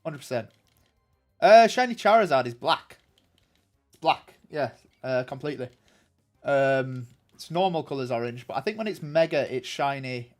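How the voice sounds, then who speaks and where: A man's voice: medium pitch, animated, moderate pace, pauses often, a little mellow, soft background music. A young man with a nasal voice, chatting casually with viewers on a game stream in a rambling way. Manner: rambling and chatty, many ums and restarts. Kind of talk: casual livestream chat answering viewers.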